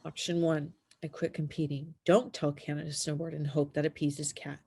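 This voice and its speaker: A woman playing an anxious, frustrated transgender teenage snowboarder in a screenplay table read, with a husky voice. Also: low voice, level tone, moderate pace, warm tone. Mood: anxious, dejected.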